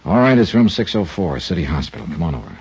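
An actor playing a clipped, wry insurance investigator who narrates a 1950s radio drama. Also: male voice, low voice, very animated, quick pace, vocal fry, darkish tone, a little hiss or hum, full character voice. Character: hard-boiled insurance investigator man. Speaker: detective and narrator. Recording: dull 1950s radio recording with music.

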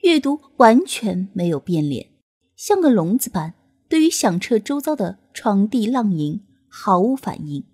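A woman reading a novel as an audiobook narrator, in an expressive scripted storytelling style. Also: medium pitch, unhurried, slightly rough.

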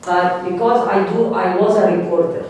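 A woman's voice: lowish voice, fairly even intonation, moderate pace, darkish tone. Middle-aged Egyptian-accented woman, hesitant audience questioner, distant and reverberant with faint hiss. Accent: Egyptian Arabic. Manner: hesitant, many fillers. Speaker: audience member, journalist. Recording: echoey room, distant mic, faint hiss.

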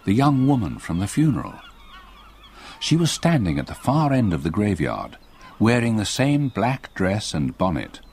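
Haunted old English solicitor, low-voiced. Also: male voice, very animated, darkish tone, breathy edge, exaggerated voice. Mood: haunted, dread. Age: older. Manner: grave, measured storytelling. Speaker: narrator. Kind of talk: dramatic radio play narration.

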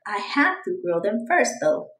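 A woman's voice: medium pitch, sing-song, unhurried, fairly bright tone, reverberant, rough recording. A woman voicing an eager, dramatic young girl who chats and exclaims through her journal in a children's storybook. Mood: excited, proud. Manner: exclamatory, chatty, dramatic announcements. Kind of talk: children's storybook read-aloud.

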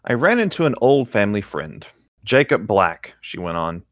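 A man's voice: fairly low pitch, sing-song, normal speed, dry recording, studio quality. A man chatting casually and reading a novel aloud with friends as a podcast co-host on a voice call. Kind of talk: casual chat and group read-aloud of a novel. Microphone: close mic on an online voice call.

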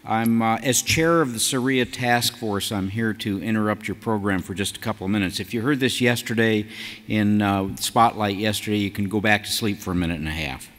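Low-voiced older man in a reverberant hall. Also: a little fast, a bit bright. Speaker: task force chair addressing convention. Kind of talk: prepared announcement read from a podium. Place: large convention hall stage. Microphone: podium gooseneck microphone.

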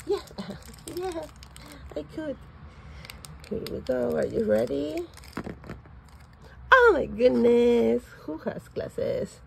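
A woman with as her talks slowly through a toy unboxing at home, and her voice is very gravelly and raspy. Her tone is mellow and dark, and she gushes with excitement over each piece. Faint room noise sits behind her. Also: a little high. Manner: excited, gushing over toys. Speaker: vlogger. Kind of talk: casual unboxing chat. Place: home, at a table.

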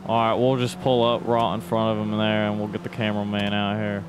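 A man's voice: fairly low pitch, completely flat delivery, fairly quick, natural voice. A man putting on an as a brash, joking bloke in improvised driving-game roleplay, swearing and matey. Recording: noisy, car engine sounds behind voice.

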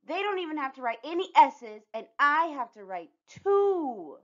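A woman's voice: high voice, very animated, a little slow, a bit bright, lo-fi sound, voice pushed up, heavily acted. A woman voicing a shy, self-conscious, earnest little girl in a storybook. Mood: whiny.

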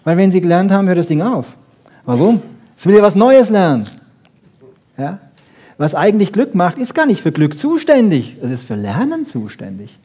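A man lectures on science to an audience in a fairly high, husky voice with a soft, mellow tone. He is wry and conversational, often asking rhetorical questions and checking in with short tags. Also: sing-song, moderate pace. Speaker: lecturer on brain research.

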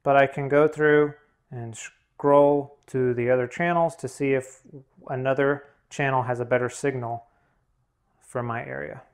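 A man's voice: medium pitch, fairly even intonation, measured pace. A man narrating a hands-on tutorial, explaining plainly one step at a time. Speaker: instructor, demonstrator.

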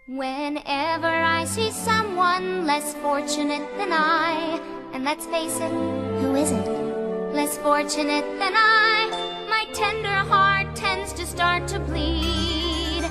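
Very high-voiced, self-satisfied popular girl. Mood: smug, gushing. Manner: theatrical, self-important, gushing. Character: bubbly, self-satisfied, condescending popular young woman. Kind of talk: musical theatre dialogue and song. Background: loud tinkling musical accompaniment.

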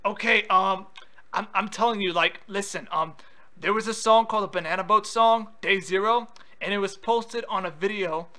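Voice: mid-range voice, moderate pace, pushed and bright. A prank caller on a phone call, confrontational and insistent with questions.

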